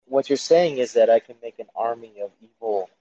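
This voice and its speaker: A man chatting on a gaming podcast, hesitant and quick to laugh. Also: mid-range voice, expressive, dark tone. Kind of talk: casual gaming podcast chat. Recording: dull lo-fi mic.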